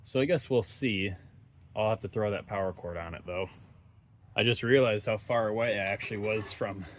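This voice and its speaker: Low-voiced man casually narrating, noisy background. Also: moderate pace. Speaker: host of radio repair videos. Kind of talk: casual unscripted hands-on repair commentary. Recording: steady background noise, ambient sound.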